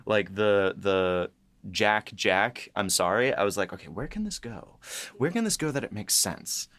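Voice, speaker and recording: A man with a voice a little on the low side chats as a podcast host and writer. His delivery is informal and jokey. He tends to quote his own thoughts as he explains things. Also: expressive, measured pace, fairly bright tone. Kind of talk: casual behind-the-scenes chat.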